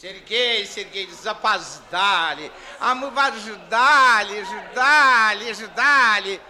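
A fawning elderly Moscow gentleman in a classic radio comedy. His voice is very high and piercingly bright, and he bustles along in ingratiating, slightly drawn-out phrases. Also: exaggerated voice. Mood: fawning, eager. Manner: bustling, ingratiating, repeats himself. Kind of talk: 1950s Russian classical stage acting.

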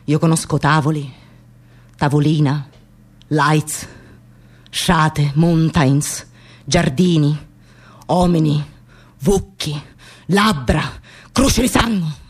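A woman's voice: deep voice, slow, pauses often, slightly rough, full character voice. An actress playing a lone, anguished working woman with a Sicilian accent, in an incantatory poetic radio monologue. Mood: brooding, yearning. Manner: incantatory, repeating short phrases.

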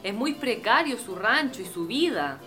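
Bright, insistent Chilean daughter played by a woman. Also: on the high side, very animated, normal speed, soft background music. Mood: polite, concerned. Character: insistent, status-conscious doctor daughter pleading with father. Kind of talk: radio drama acting.

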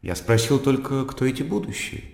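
An actor playing a cool, bored and ironic educated gentleman of old Russia in a radio drama, with dry, languid delivery. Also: mid-range voice, animated, normal speed, creaky. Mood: polite, ironic. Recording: echoey hall, music behind.

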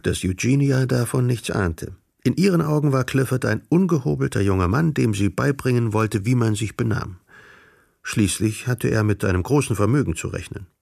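A man's voice: mid-range voice, creaky, a little mellow, no room sound, studio quality. A man reading scripted literary narration as the narrator of a radio drama.

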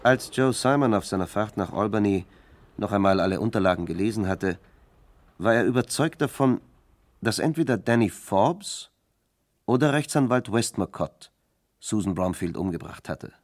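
A man's voice: lowish voice, expressive, normal speed, dry recording. A man reading scripted narration for a radio crime play in a sober, factual manner.

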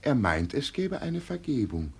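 A man's voice: medium pitch, big pitch swings, unhurried, vocal fry, a little hiss or hum. An actor playing a deluded old peasant who believes he is an emperor, solemn and grandly proclaiming, in 1950s German radio drama style. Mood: solemn, insistent.

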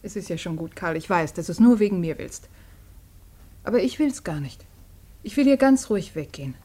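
An actress playing an anxious, loving young woman in a naturalistic German radio drama, hushed and pleading. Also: lowish voice, expressive, moderate pace. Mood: anxious, resigned. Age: young adult.

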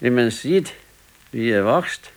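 A man's voice: mid-range voice, expressive, slow. An actor playing a sickly, wistful grandfather in his sixties in a radio play, musing in short colloquial phrases with a Bavarian accent. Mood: weary, reflective. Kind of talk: naturalistic radio play dialogue.

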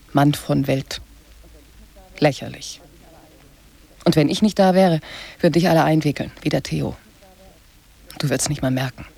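A lowish female voice playing a middle-class wife in a radio drama. She is weary and proper, and nags with bitter, indignant reproach. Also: vocal fry, dark tone, husky, exaggerated voice. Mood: bitter, reproachful.

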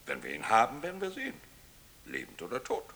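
An actor playing a stern, stubborn navy captain in a radio play, lurching between muttering and barking. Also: male voice, mid-range voice, wildly swooping pitch, fairly quick, bright, forceful tone, heavily acted. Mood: grim. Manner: erratic swings from muttering to barking. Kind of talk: German radio play acting.

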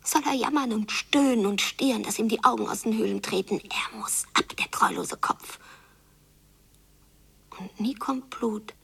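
An actress playing a wounded, secretive young daughter who longs and seethes in a radio drama, often hushed and drawing out her phrases. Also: fairly high pitch, measured pace, vocal fry, husky, exaggerated voice. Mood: whispered grim longing. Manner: hushed, echoing repeated phrases, drawn out. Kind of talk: literary radio drama, whispered inner monologue.

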